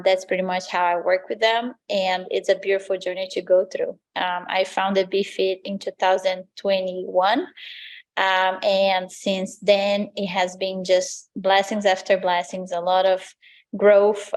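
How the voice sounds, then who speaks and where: A woman from Brazil with a Brazilian accent, a native Portuguese speaker, answering interview questions as a lifestyle coach in an earnest, motivational way. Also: medium pitch. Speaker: lifestyle coach, podcast guest.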